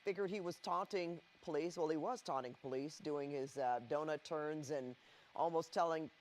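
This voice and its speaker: A man anchoring live breaking news, recapping events in a measured way with pauses and restarts. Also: a little high.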